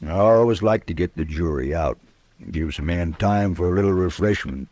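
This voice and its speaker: Pompous, hard-drinking frontier judge. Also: male voice, deep voice, moderate pace, a little mellow, no echo. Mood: smug, genial. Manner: folksy but pompous and peremptory. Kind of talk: 1940s-50s radio western acting.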